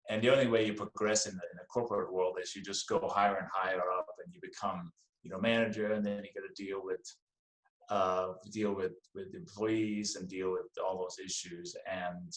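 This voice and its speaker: A middle-aged man with a Danish accent talks in a relaxed, conversational way, often circling back on his words. His voice sits fairly low. The sound is roomy and echoey, like a podcast recorded in a bare room. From Denmark. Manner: easygoing, repeats words as he gathers thoughts. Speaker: podcast guest. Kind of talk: casual interview chat.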